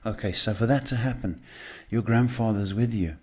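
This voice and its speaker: Low-voiced man giving halting spiritual advice. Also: darkish tone, husky. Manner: halting, repeats "okay" as a check. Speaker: psychic host giving live readings. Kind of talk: live advice to callers, casual spiritual talk.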